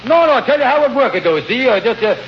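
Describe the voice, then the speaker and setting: Muffled vintage radio, high male voice, scheming smooth-talker, folksy grammar, mangled big words, comedy. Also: lively intonation, fast. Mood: wheedling. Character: wheedling, scheming male con-man friend with pompous double-talk. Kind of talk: 1940s radio comedy acting. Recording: muffled, noisy old radio broadcast recording.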